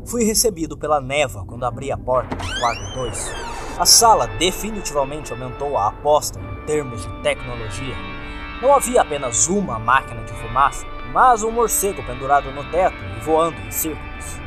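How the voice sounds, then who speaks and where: A man's voice: mid-range voice, big pitch swings, normal speed. A man with a Brazilian accent reading a scripted first-person horror story with dramatic delivery, as narrator. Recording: background music under the voice. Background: music.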